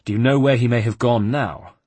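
Man playing an earnest, husky, patient adventurer. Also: medium pitch, steady tone, a little fast, a little mellow, no room sound, close to an everyday voice. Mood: intent, questioning. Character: earnest, patient male Italian adventurer seeking information.

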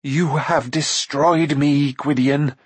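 A man's voice: mid-range voice, little pitch movement, unhurried, breathy edge, no room sound. Haughty deposed enchantress played by male narrator: bitter, imperious, anguished, dramatic storybook delivery. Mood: anguished defiance. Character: haughty fallen sorceress queen, bitter and despairing.

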